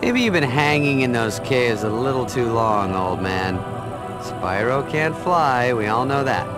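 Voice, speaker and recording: Casting: man to voice cocky dragonfly comic relief for a fantasy game, very animated, teasing and sarcastic. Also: mid-range voice, unhurried, close to an everyday voice. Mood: skeptical. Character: small wisecracking male dragonfly sidekick, cocky and sarcastic. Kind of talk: comic video game cutscene acting. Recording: music behind the voice.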